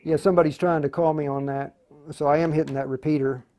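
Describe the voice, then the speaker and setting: A man with a slightly high voice hosts a live hands-on tutorial. He talks in an unscripted, halting way, often muttering to himself as he works through each step. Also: steady tone, dark tone. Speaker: host of a live radio tutorial.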